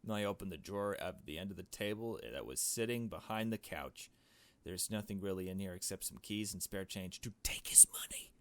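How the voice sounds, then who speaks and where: A man reading a visual novel aloud as a let's player, in hushed storytelling narration with casual asides. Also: sits low, a little fast.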